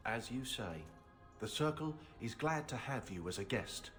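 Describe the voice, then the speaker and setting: A man's voice: mid-range voice, animated, measured pace, fairly bright tone, soft background music. A male actor playing a courteous, reserved official of a mages' circle in a fantasy game, speaking politely and formally. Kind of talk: scripted fantasy game dialogue.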